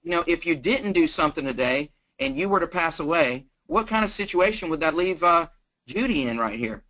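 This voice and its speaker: Male guest sales trainer, down-to-earth and folksy, very bright edge, casual delivery, lo-fi call sound. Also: lively intonation, a little fast. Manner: plain-spoken, folksy, acts out sample pitches. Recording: dull, lo-fi conference call line.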